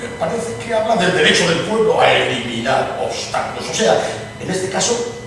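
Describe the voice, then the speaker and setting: An actor plays a patronizing, courtly British statesman in radio theatre. His voice is gravelly and his manner formal, with uneasy hesitations. The recording is distant and echoey with clear noise. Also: sits high, very animated, normal speed, fairly bright tone, full character voice. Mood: guarded concern. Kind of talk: dramatized stage reading, radio theatre. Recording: very echoey room, distant, noisy.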